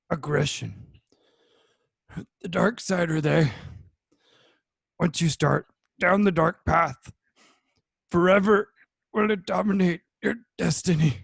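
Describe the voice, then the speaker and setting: A wizened green alien master, weary and wise. The voice is slightly high, husky and full of croak, with halting, inverted phrases read from a film script in a dry room. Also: male voice, no room sound, exaggerated voice. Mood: solemn warning. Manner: inverted syntax, halting, grave. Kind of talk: online amateur script table read. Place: home room, on a video call.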